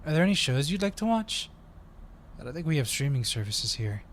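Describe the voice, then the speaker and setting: A man's voice: fairly high pitch, sing-song, brisk, slight background noise. A man playing an eager, friendly undercover agent in an audio roleplay, talking in a polite, chatty way. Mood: relaxed, playful.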